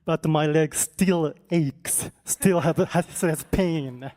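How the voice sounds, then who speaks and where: A man interprets for an audience member, stopping and restarting as he searches for words. He talks very slowly and his voice sits slightly high. A long hall reverb trails every phrase. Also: a little mellow. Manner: hesitant, halting, with many restarts. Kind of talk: consecutive interpretation.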